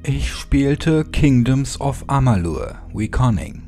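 Slow-paced man narrating horror. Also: mid-range voice, animated, mellow. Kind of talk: scripted horror audiobook narration. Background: music.

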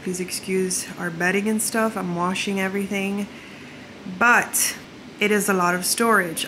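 A woman's voice: mid-range voice, fairly bright tone, slight background noise, rough recording. Congested woman chatting to camera. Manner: chatty, storytelling, lots of "like" fillers. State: congested from the flu. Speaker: lifestyle vlogger. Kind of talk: casual vlog chat to camera. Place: home bedroom, indoors.

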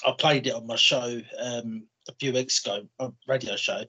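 A man's voice: medium pitch, fairly even intonation, moderate pace, sharp tone, husky. A young man hosting an interview over a video call, speaking hesitantly with lots of fillers. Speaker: radio host interviewer. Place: small room indoors. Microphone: headset on a video call.

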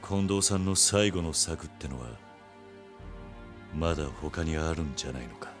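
An actor playing a brooding, resolute samurai in a video game cutscene, speaking in a terse, stern, measured way. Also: male voice, extremely low pitch, over music. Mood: grave, suspicious. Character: brooding, resolute samurai swordsman, gruff and guarded. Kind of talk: dramatic game cutscene acting.

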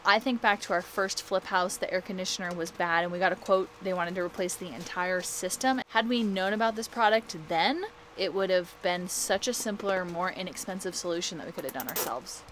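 A woman's voice: fairly low pitch, fairly quick, a bit bright, slight background noise. A woman in her thirties chatting cheerfully to a close handheld camera about a home DIY project. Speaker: DIY home-build vlogger. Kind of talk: casual vlog talk to camera. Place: attic and garage shop. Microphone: handheld action camera close up.

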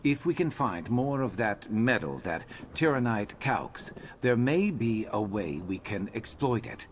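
An actor playing a brooding, determined reptilian warrior in a video game, terse and guarded. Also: male voice, lowish voice, expressive, a little slow, a little hiss or hum. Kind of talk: video game character dialogue.

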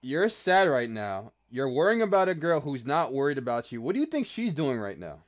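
A young man from New Jersey talks in loose, playful banter. His voice is of middling pitch but swings up and down a lot as he teases. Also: big pitch swings, brisk, highs cut off, a little hiss or hum.

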